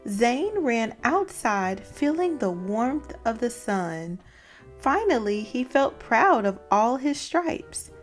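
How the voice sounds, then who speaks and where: A woman narrating a children's read-aloud as host, with expressive storytelling. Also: on the high side, slow. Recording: music behind the voice.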